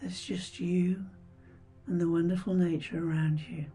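Female meditation guide: soft and breathy, very mellow, soothing delivery with gentle pauses, music underneath. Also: lowish voice, level tone. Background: background music.

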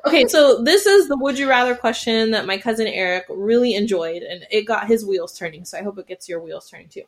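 A woman's voice: mid-range voice, animated, fairly quick. A woman hosting a casual podcast chat, asking playful, probing questions of her guests. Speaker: podcast host.

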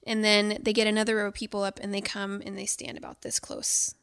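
A woman's voice: mid-range voice, completely flat delivery, fairly quick, fairly bright tone, smooth, no echo, studio quality. A woman telling a personal story in a casual podcast conversation, candid and full of fillers.

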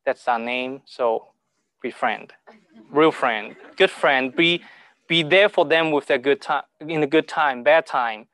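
Halting man. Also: on the high side, fairly bright tone, no echo. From Hong Kong. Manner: halting, repeats words, broken grammar. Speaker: speaker sharing testimony. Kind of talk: informal talk to a church group.

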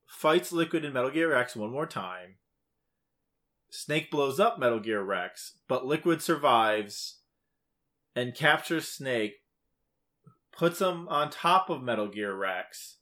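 A man's voice: medium pitch, fairly bright tone. A man co-hosting a podcast, casually recapping a game's story in emphatic, short clipped phrases. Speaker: podcast co-host. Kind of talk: casual video game plot recap.

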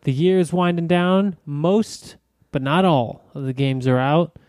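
A man hosting a casual gaming podcast, steering the talk with quick, blunt remarks. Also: fairly high pitch, darkish tone, no echo, clean studio sound. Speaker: podcast host. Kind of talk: casual chat.

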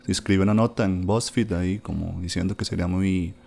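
A man's voice: low-pitched, steady tone, fairly quick, vocal fry, warm tone. A man, chatting casually about books on a podcast, full of fillers and tag questions. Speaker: podcast host discussing books. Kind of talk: casual literary chat.

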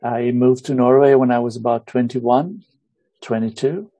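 Romanian-accented man, middle-aged, halting and searching for words, mellow tone, dry close sound. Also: mid-range voice, no echo, poor audio. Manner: hesitant, many pauses and fillers. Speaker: guest musician. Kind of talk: casual interview.

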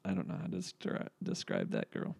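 A man's voice: a little fast, darkish tone, in a whisper. A young man chatting casually as a podcast guest, easygoing and often laughing as he talks. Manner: easygoing, laughs while talking. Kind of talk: casual chat.

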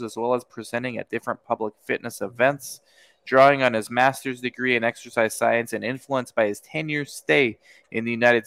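A man hosting a podcast interview, talking in an energetic, informal way. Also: mid-range voice, clear, resonant tone, dry recording. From United States. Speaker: podcast host. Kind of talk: casual interview chat.

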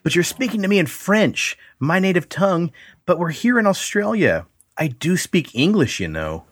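Husky, animated man playing a baffled male abductee in a podcast story reading, sweary and disbelieving. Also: high-pitched, normal speed, dry recording, heavily acted. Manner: exclaiming, questioning, theatrical reading.

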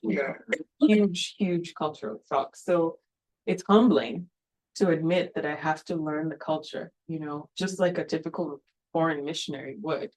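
A woman's voice: low-pitched, measured pace, darkish tone, poor audio. A woman from Kenya, a missionary planting a church, speaking earnestly at a mission event with many ums and you knows.

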